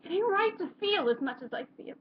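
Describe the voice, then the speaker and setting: A woman's voice: very high-pitched, very animated, a little fast, lo-fi sound, voice pushed up. A woman performing a dramatic stage monologue as a tormented, unravelling working-class parent, desperate, intense and raw. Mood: desperate, anguished.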